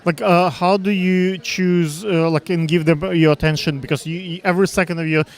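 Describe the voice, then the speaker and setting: A man with a Russian accent interviewing backstage at a fashion show, asking questions haltingly with many fillers and restarts. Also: fairly high pitch, fairly even intonation.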